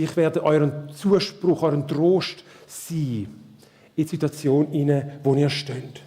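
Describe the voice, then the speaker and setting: Swiss german man, preacher, slow unhurried delivery, mellow voice, long hall reverb, questions to the congregation. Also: fairly high pitch. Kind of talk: live sermon. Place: church hall.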